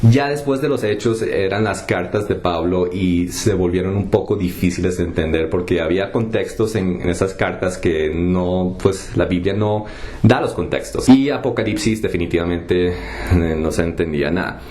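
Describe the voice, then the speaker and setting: A young man from the United States telling his personal story in a casual, halting way, with many fillers and restarts. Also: low voice, a little mellow. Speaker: testimony vlogger. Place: home kitchen.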